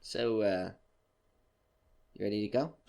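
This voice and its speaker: A man playing a sly, genial but menacing supernatural debt collector in an audio horror drama, drawling and teasing with casual pauses. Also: lowish voice, vocal fry, a little mellow.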